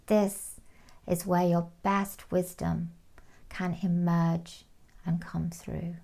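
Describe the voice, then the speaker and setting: Woman, meditation guide, very slow pace, lowish pitch, calm and soothing, soft phrase endings, long pauses. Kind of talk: guided meditation, gentle instruction.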